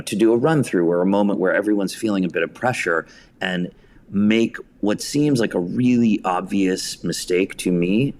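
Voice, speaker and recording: Male, low-pitched voice, interview guest recounting creative career anecdotes in a conversational way. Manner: anecdotal, hesitant phrasing, acts out quotes. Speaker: interview guest, theatre director and writer.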